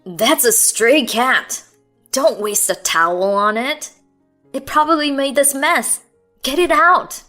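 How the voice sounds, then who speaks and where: A woman's voice: high-pitched, lively intonation, slow pace, bright, forceful tone, faint music behind, voice pushed up, heavily acted. A woman voicing an irritated, domineering husband who barks harsh orders.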